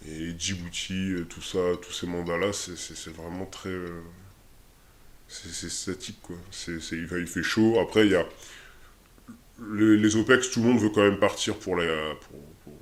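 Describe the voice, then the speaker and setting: A man in his twenties with a very deep voice, answering interview questions in a relaxed way. He speaks hesitantly, with many fillers and restarts as he looks for his words. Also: normal speed. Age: 20s. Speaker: interviewee, army soldier.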